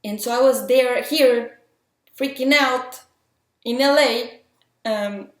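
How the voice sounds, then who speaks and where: A woman's voice: on the high side, slow pace. A woman from Mexico with a Mexican accent tinged with French, talking through filmmaking tips in an earnest, chatty, enthusiastic way. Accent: Mexican, with some French influence. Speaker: independent filmmaker. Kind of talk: casual talk giving filmmaking tips. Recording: roomy echo.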